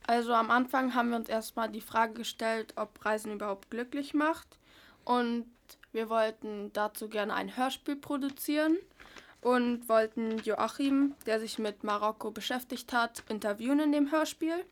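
A woman's voice: a little high, moderate pace. A teenage girl presenting on a school radio show, reading from a prepared script in a somewhat stiff way. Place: radio studio.

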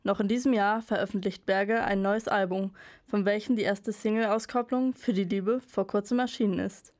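A woman's voice: mid-range voice, fairly even intonation, normal speed, a little mellow. Woman reading radio announcements. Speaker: radio presenter. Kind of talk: scripted radio presenting.

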